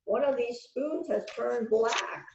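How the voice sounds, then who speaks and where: A woman's voice: on the high side, slow. A woman playing an agreeable, eager assistant detective in an amateur readers theater mystery. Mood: eager, helpful. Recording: echoey, dull, slightly noisy call audio.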